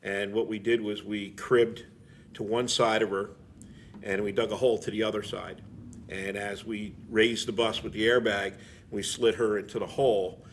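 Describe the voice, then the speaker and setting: A man's voice: lowish voice, little pitch movement, normal speed, slight background noise. A middle-aged man telling stories casually as an interview guest, plain-spoken and rambling, with frequent filler phrases. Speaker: interview guest, veteran firefighter.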